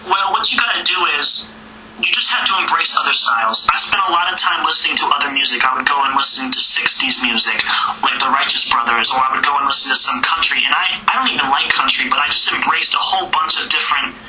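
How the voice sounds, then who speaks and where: A man from Georgia, a rapper, answering interview questions in a relaxed, chatty way with a higher-pitched voice and small laughs. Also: fast. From Georgia, USA. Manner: laid-back, chatty, with small laughs and fillers. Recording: distant, roomy, noisy line.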